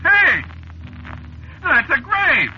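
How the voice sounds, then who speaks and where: Rough-spoken cabbie on muffled old radio. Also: male voice, extremely high pitch, wildly swooping pitch, very slow, soft background music, exaggerated voice. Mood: panicky pleading. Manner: colloquial, ungrammatical speech. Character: frightened, pleading working-class cab driver, later eerily resigned. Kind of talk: 1940s radio drama acting.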